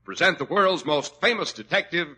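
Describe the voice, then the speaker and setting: A man announcing and reading sponsor copy on a 1940s radio show, brisk and salesy. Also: a little high, animated, very noisy. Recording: old radio broadcast recording.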